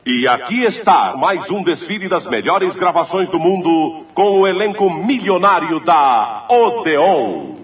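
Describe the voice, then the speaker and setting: A man's voice: sing-song, unhurried, far from the mic. A man with a Brazilian accent announcing a vintage radio show in a grand, theatrical showman style. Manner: grand, booming showman delivery. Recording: muffled echoey old radio archive, loud music.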